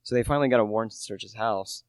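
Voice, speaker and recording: A man's voice: lowish voice, very fast, clear, resonant tone, no room sound, close to an everyday voice. A teenage male actor playing an earnest, humble British salesman in a radio play, chatty and a little hesitant. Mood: eager, chatty. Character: earnest, humble British relish salesman narrating a murder mystery.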